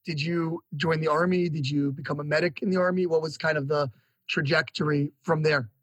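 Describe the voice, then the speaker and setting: A man's voice: a little high, steady tone, a little fast, a bit bright, slightly rough. A man hosting an interview podcast, presenting and asking questions in polished, effusive phrasing. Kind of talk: scripted show introduction and interview questions.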